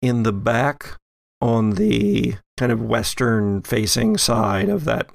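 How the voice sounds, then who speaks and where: A man acting as game master, narrating a tabletop horror game in a measured, halting way. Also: medium pitch, animated, vocal fry, no echo, studio quality.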